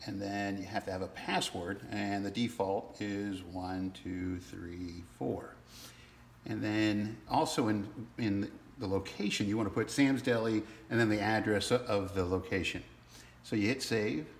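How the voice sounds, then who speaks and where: A man giving a casual, halting step-by-step walkthrough as a product demonstrator in a small indoor room. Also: fairly low pitch, creaky. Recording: roomy sound with faint background noise.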